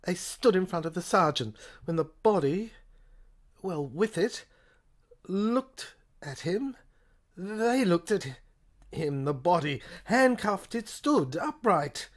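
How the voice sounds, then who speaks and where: Low female voice, theatrical sci-fi audio play narration, halting phrasing, drawn-out words. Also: lively intonation. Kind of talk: dramatic scripted narration of a science fiction audio play.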